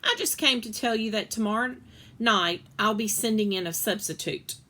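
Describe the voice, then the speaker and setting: A woman's voice: mid-range voice, moderate pace, sharp tone. Woman reading as a plucky, argumentative little girl. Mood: earnest, insistent. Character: eight-year-old girl, plucky, curious, stubbornly argumentative.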